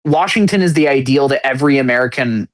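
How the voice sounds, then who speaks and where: A man co-hosting a casual podcast conversation, working out his argument aloud with many restarts. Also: mid-range voice. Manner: halting, searching for words with many restarts. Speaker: podcast co-host.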